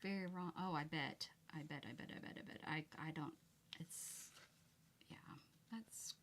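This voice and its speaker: A woman's voice: low voice, measured pace, a bit bright, in a whisper. Woman, light Southern accent, casual livestream chat, rambling storyteller, crafting while talking. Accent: Southern American. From United States. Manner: rambling, chatty storyteller reading comments aloud. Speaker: craft channel host.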